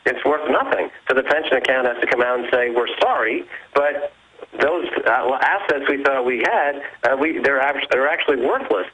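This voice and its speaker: A man guest on a radio show explaining pension finance, halting at first with many ums, then fluent. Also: medium pitch, dull audio, a crowd in the background. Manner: hesitant, many fillers and restarts, then fluent. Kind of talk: explanatory interview talk.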